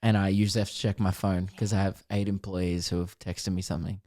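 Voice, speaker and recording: A man's voice: deep voice, level tone, fast, mellow. A young Australian man chatting casually as a podcast co-host, blokey and self-deprecating. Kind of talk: casual chat.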